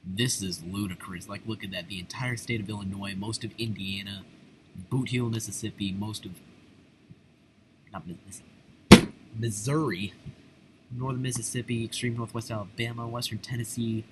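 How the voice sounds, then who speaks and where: Excitable low-voiced American man reacting. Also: normal speed, pauses often, background noise. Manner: excitable, exclamatory, casual slang. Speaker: reaction video host. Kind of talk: live unscripted reaction commentary.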